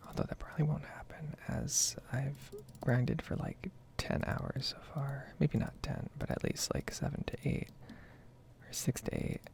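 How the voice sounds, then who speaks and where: A soft-spoken, unhurried gaming host giving a hushed, whispery ASMR ramble over gameplay. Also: medium pitch, big pitch swings. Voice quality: whispery, creaky.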